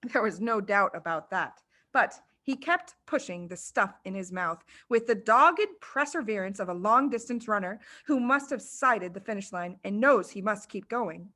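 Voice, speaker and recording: A woman reading a children's story aloud as expressive scripted narration. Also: medium pitch, normal speed. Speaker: storybook reader.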